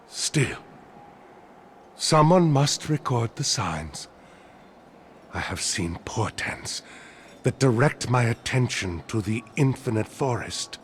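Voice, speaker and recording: Pompous, lilting cult scholar. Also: male voice, medium pitch, slight background noise. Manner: pompous, reverent. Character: devout, pompous, self-important male cult scholar. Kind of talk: video game character acting.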